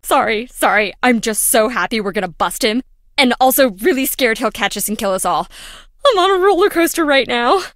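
Quirky, animated young lab worker. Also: female voice, on the high side, brisk, no room sound, clean studio sound. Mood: anxious, urgent. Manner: wry, theatrical, chatty. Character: quirky, dramatic young female lab worker, jittery and playful. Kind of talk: video game voice acting.

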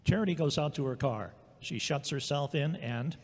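A man's voice: on the high side, normal speed, a little mellow, slightly rough. A man reading scripted scene narration for an audio drama. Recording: echoey hall-like reverb.

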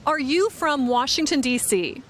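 A middle-aged woman reporter interviewing tourists outdoors with a handheld mic, speaking slowly and clearly for learners. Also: high-pitched, lively intonation, slight background noise. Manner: upbeat, enunciates carefully. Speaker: reporter host of an English lesson. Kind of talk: slow, clear scripted interviewing. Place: outdoors on city streets. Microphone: handheld microphone.

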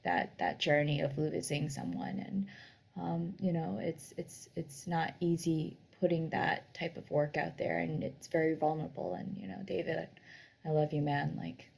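A woman's voice: deep voice, fairly even intonation, normal speed, creaky, darkish tone. A young adult woman speaking casually and sincerely on a video call. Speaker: actor and narrator on a panel.

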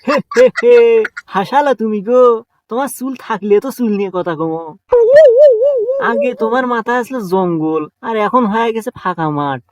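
A broke young cartoon trickster, played by a man, who is always scheming. He speaks with a Bogura accent in a high, cheeky voice. His banter is full of teasing. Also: expressive, normal speed, dry recording. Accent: Bogura dialect of Bangla. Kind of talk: cartoon comedy voice acting.

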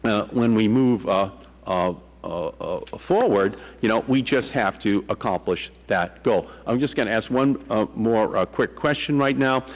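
A man's voice: on the low side, measured pace, big-room sound. A man in his sixties chairing a congressional hearing, asking questions with many fillers and restarts, speaking into a desk microphone in a large hearing room. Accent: Boston. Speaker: committee chairman.

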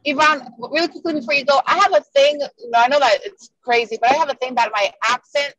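A woman's voice: high voice, fast, fairly bright tone, dry recording, highs cut off. A native Spanish-speaking woman with a Spanish accent, asking casual, somewhat hesitant questions as an agent on a group call. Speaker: meeting participant, real estate agent.

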